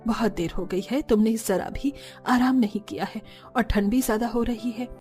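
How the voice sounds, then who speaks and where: An actress voices a middle-aged cartoon mother-in-law. She is caring and homely, with a soft mellow tone and faint music behind her. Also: fairly high pitch, normal speed, natural voice. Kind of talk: Hindi cartoon story acting.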